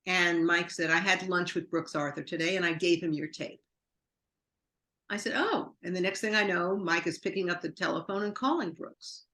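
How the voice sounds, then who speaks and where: A woman's voice: on the low side, moderate pace, pushed and bright. A woman from New York, a guest in an interview, telling long rambling anecdotes full of quoted dialogue. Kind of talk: interview storytelling.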